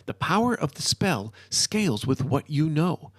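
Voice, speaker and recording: A man playing an excitable modern-day wizard in a storybook, ranting and lecturing in an emphatic, informal way. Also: a little high, measured pace, dry recording, pitched above the performer's own voice. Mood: enthusiastic lecturing. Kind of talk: storybook character dialogue.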